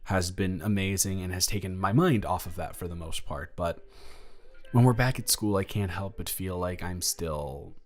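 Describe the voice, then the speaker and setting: A man playing an awkward, affectionate high school boy in a romantic audio roleplay, speaking in a rambling, stammering way. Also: natural voice. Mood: flustered, affectionate, then insecure, earnest. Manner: halting, rambling, stammering, self-deprecating.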